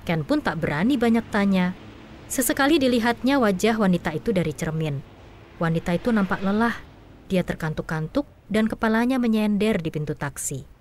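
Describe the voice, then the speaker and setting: A woman narrating a scripted audio drama in an even, measured storytelling manner. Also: mid-range voice, slight background noise.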